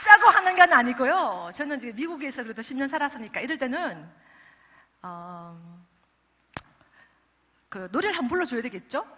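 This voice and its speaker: A middle-aged woman with a Gyeongsang accent delivers a chatty, joking sermon from the stage, teasing her listeners between her points. Her voice sits high, and it carries a long echo through a large church sanctuary. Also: very animated, moderate pace, pauses often, sharp tone, a little hiss or hum. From Daegu, South Korea. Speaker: pastor's wife speaking at a prayer meeting. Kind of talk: humorous testimony sermon.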